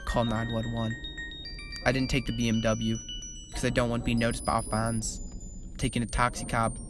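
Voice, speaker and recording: A reader voicing a stressed young male pop singer who is meant to hold his band together, speaking lower and quieter than the narration, over background music. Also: close to an everyday voice. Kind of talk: reading fan fiction aloud.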